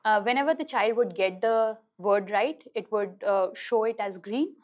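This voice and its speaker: A woman's voice: mid-range voice, normal speed, a little mellow, dull audio. A woman in her thirties with an Indian accent, explaining her team's work earnestly in a conversational interview. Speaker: app team designer interviewee. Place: at a café table.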